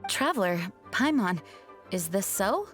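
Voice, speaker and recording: A woman's voice: medium pitch, very animated, unhurried, a bit bright, soft background music. A woman voicing a dutiful, composed female knight commander in a video game, speaking formally and politely. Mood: serious, apologetic.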